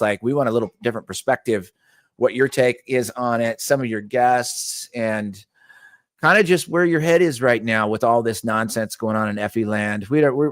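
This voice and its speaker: A man's voice: lowish voice, no room sound, clean studio sound. A man hosting a podcast interview in a loose, rambling, laid-back way. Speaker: podcast host. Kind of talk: casual interview talk.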